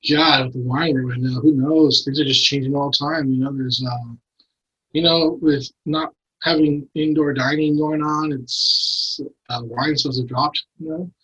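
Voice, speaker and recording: A man in his forties from San Diego, a wine professional giving relaxed, plain-spoken answers in an interview. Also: on the high side, moderate pace, husky. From San Diego, USA. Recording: dull lo-fi sound.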